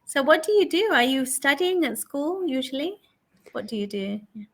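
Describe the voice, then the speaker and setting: Encouraging woman tutor, high voice. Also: sing-song, normal speed. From England. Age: 40s. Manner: patient, encouraging, repeats the learner's answers back. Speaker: online English tutor. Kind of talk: casual conversation lesson.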